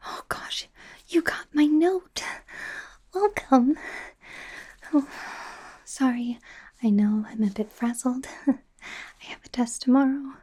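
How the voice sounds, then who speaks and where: A woman's voice: high voice, takes it slowly, pauses often, exaggerated voice. A woman playing a friendly, anxious young werewolf medical student in an ASMR roleplay, whispering in a nervous, hesitant ramble with stammered repeats. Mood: frantic, worried. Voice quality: breathy soft whisper.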